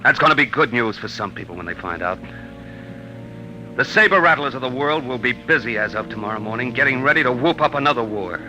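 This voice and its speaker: An actor playing a sombre, resolute man dictating a farewell report in a 1950s radio drama, deliberate and confiding. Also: mid-range voice, expressive, over music, close to an everyday voice. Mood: grave, resolute. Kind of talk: 1950s radio drama acting, first-person narration. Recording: noisy old radio broadcast recording.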